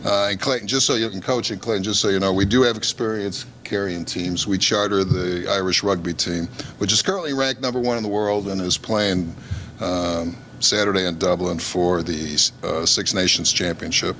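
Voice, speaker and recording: A man's voice: low-pitched, fairly even intonation, moderate pace, a bit bright, a little hiss or hum. A man with a Chicago accent, an airline executive giving an upbeat, joking promotional speech at a press conference. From Chicago, USA.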